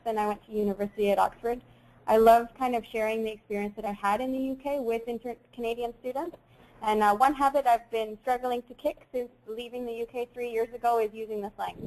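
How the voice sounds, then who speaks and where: A young woman in her twenties presenting an online webinar, friendly and quick, with frequent ums. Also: medium pitch, darkish tone, rough recording. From Saudi Arabia. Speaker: webinar host.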